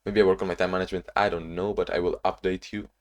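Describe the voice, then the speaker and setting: Young man, very low voice, halting, reflective, sleepy, casual video diary. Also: little pitch movement. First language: not English; Portuguese not first language either. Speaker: vlogger. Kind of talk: casual diary-style vlog.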